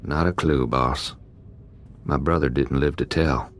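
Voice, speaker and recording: An actor playing a rough, easygoing gang thug in a video game, clipped and casual with cheerful menace. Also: male voice, extremely low pitch, steady tone, measured pace. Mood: wry. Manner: clipped casual phrasing, deferential to the boss.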